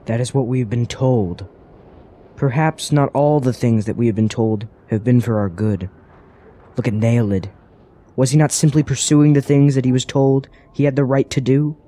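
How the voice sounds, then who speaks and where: An actor playing a cautious, earnest traveler in a fantasy radio drama, speaking formally and gravely. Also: male voice, medium pitch, fairly even intonation, mellow, lightly acted. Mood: grave, reflective. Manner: formal, grave, measured phrasing. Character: cautious, earnest male traveler wary of dark woods.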